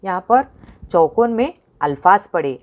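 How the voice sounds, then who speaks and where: A woman teaching a recorded Urdu lesson to young children, with an Indian Urdu accent, asking questions and answering them herself, stretching and repeating words slowly. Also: medium pitch, expressive, highs cut off. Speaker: primary school Urdu teacher.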